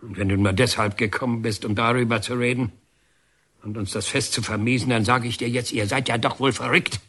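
A banned painter in a radio play, bitter and defiant. His voice is bright and forceful with a husky edge. He speaks with biting sarcasm and sharp emphasis. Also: medium pitch, steady tone, a little fast. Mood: indignant, scornful. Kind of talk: German radio drama acting.

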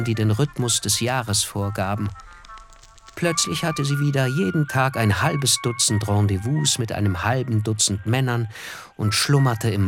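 An actor playing a reflective, melancholy narrator who looks back, telling a literary radio drama in measured, thoughtful phrases. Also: male voice, medium pitch, close to an everyday voice.

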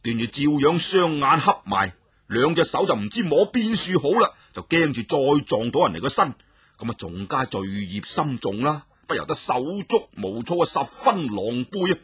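A man telling a traditional story with expressive, animated narration. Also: mid-range voice, moderate pace, breathy edge, dry recording.

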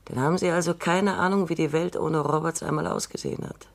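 A woman in her seventies playing a shrewd retiring robot psychologist in a radio drama, measured and reflective, sometimes dropping to near whispers. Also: deep voice, steady tone, vocal fry. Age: 70s. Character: shrewd elderly female robot scientist, dryly wry.

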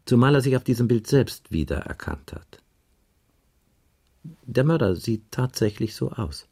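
Gravelly, world-weary police inspector. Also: male voice, mid-range voice, big pitch swings, measured pace, darkish tone, exaggerated voice. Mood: sombre. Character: world-weary, blunt police inspector explaining a murder case. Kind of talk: 1980s German radio crime drama acting.

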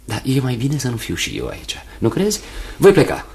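An actor playing a kindly, nervous young fiancé in a Romanian radio play, earnest and hesitant, often repeating himself. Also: male voice, medium pitch, wildly swooping pitch, creaky, a little mellow. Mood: resolved. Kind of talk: Romanian radio theatre acting.

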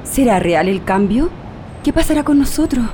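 A woman's voice: fairly high pitch, very animated, normal speed, warm tone, a little hiss or hum. An actress playing an emotional, urgent Chilean exile woman with a Chilean accent in a radio drama, music behind her. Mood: hopeful, anxious. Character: Chilean woman exile, excitable, anxious, hopeful.

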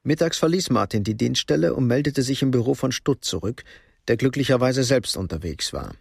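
A man narrating a radio crime drama in a measured, matter-of-fact way. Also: medium pitch, lively intonation, vocal fry, breathy edge, no room sound, clean studio sound. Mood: sober. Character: sober third-person narrator of a crime story.

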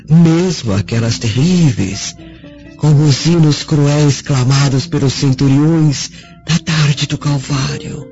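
A woman playing a devout, gentle Roman servant in a radio drama, speaking in a reverent, measured way. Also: rumbling low, full character voice. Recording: dull lo-fi audio with faint music.

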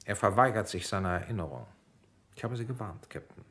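A low male voice with a composed, clinical manner. a calculating military doctor in a radio drama, explaining things coolly and precisely. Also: expressive, fairly quick, a bit bright. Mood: composed, reproachful. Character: cool, calculating military doctor overseeing an interrogation.

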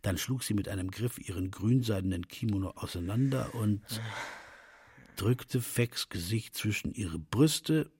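A man's voice: lowish voice, unhurried, a little mellow, slightly rough. A man narrating a literary radio play as one of its storytellers.

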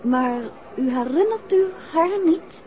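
An actress playing a detective's inquisitive, affectionate wife in a 1960s radio drama, well-spoken and theatrical. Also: female voice, sits high, lively intonation, slow pace. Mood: politely probing. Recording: dull old radio recording, crowd noise.

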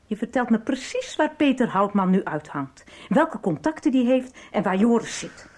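A woman's voice: a little high, very animated, moderate pace, a little mellow. An actress in a 1990s radio soap playing a menacing, scornful woman who taunts and commands as she presses a man for information. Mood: threatening, scornful.

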